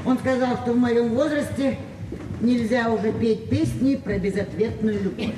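An actress playing a weary, wry middle-aged touring singer in a Soviet radio drama, speaking ruefully in clipped phrases. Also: female voice, fairly high pitch, moderate pace, natural voice. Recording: distant, reverberant, noisy old radio recording.

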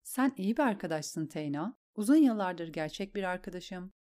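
A woman's voice: lowish voice, animated, normal speed, dark tone, natural voice. A woman voicing a cold, commanding queen who speaks in an imperious, cutting way.

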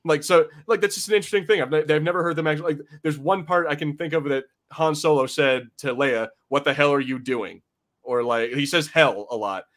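A man's voice: on the high side, brisk, a bit bright. A man hosting a pop-culture podcast, chatting informally and rambling, with casual swearing. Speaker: podcast host.

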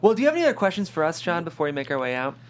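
A man's voice: a little high, very animated, very fast, slight background noise. A man hosting a casual panel discussion in an enthusiastic, informal way. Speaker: host.

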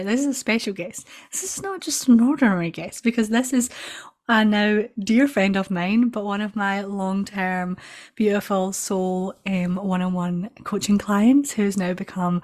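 A woman hosts a casual podcast chat with lots of warmth in her words and eager repetitions. Her voice sits at an ordinary middle pitch. She spills enthusiasm over every sentence. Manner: gushing, enthusiastic, repeats words. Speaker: podcast host.

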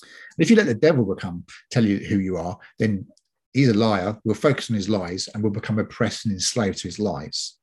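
A man's voice: lowish voice, brisk, a little mellow, breathy edge. A man giving an informal, conversational sermon, explaining in a chatty, hesitant way and often repeating himself.

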